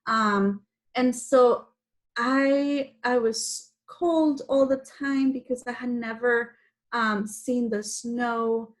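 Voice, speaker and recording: A woman's voice: a little high, fairly even intonation, takes it slowly. A woman from Guatemala whose first language is Spanish, telling her personal story to children in simple, explanatory phrases. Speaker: guest speaker telling personal story to schoolchildren.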